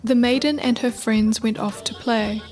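A woman's voice: fairly high pitch, steady tone, moderate pace, a little mellow. A woman narrating a scripted folktale as the storyteller of a radio play. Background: music.

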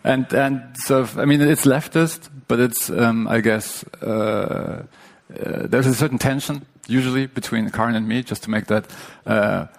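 Man, gravelly voice, halting with lots of ums, live stage podcast co-host. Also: medium pitch, steady tone. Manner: hesitant, many fillers and restarts. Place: stage before a live audience.

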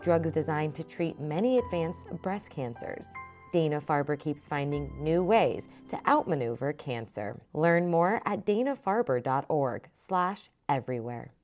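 A woman with a distinctly deep voice reads a scripted sponsor message. Music plays behind her announcer's narration. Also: a little mellow.

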